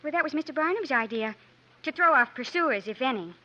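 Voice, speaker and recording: A woman's voice: high voice, animated, fairly quick, bright, forceful tone, full character voice. An actress playing an anxious, well-bred young lady in a 1950s radio drama, with a refined, earnest, dramatic manner. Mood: guarded, anxious. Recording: muffled vintage 1950s radio recording.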